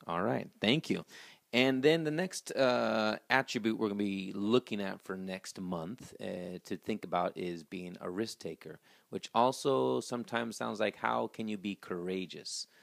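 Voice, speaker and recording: A man's voice: lowish voice, measured pace, vocal fry, dry recording, clean studio sound. A man in his thirties hosting a school video in a small office, upbeat and presenting to camera with a handheld mic. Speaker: school host. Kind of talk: school announcement interview.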